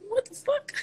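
A young woman in her twenties chatting casually on a phone livestream from home, offhand and profane, with a stuffy nose. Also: extremely high pitch. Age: 20s. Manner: offhand, profane, laughing asides. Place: indoors at home, apartment room. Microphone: phone camera at arm's length.

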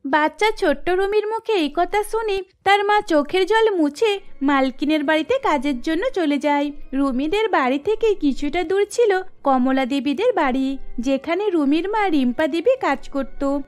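A woman's voice: extremely high pitch, animated, normal speed. A woman narrating a scripted cartoon story.

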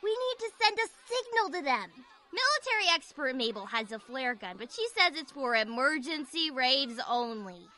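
A woman's voice: sits high, big pitch swings, moderate pace, pushed and bright, heavily acted. A woman playing a sly, scheming young girl with a snide, dry manner in cartoon style. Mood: conspiring. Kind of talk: animated cartoon acting.

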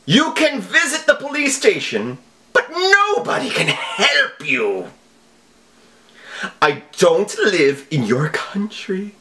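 An evil villain hacker with a made-up foreign accent. His voice is high and swoops widely, and he delivers threats slowly and theatrically in broken English, with boastful menace. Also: pushed and bright, exaggerated voice. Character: evil villain hacker, menacing, over-dramatic male scammer.

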